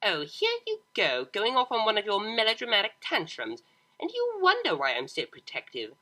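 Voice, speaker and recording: A woman's voice: mid-range voice, wildly swooping pitch, normal speed, pushed and bright, pitched above the performer's own voice, full character voice. A woman voicing a domineering older pony mare, a stern mother with a scornful, condescending manner.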